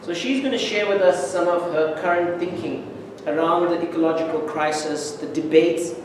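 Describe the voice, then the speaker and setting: A man with a slightly high voice introduces a speaker at an academic seminar. He talks informally and in measured phrases with pauses. The sound is distant and very echoey, with clear noise in the room.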